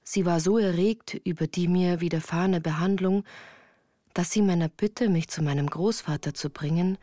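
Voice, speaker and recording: A woman's voice: lowish voice, normal speed, mellow, no echo, lightly acted. An actress as a woman recounting her childhood in composed, literary first-person narration for a radio drama. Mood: grave, reflective. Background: music.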